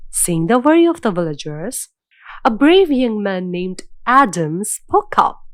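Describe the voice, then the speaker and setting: A woman narrating a scripted children's fairy tale in a measured, dramatic storytelling manner. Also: medium pitch, big pitch swings, dry recording, clean studio sound.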